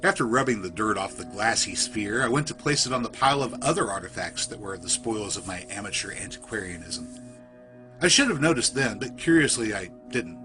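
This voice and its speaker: A man reading a scripted first-person horror story in a literary, measured, suspenseful storytelling manner. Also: lowish voice, lively intonation, pushed and bright, faint music behind. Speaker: storyteller, podcast narrator.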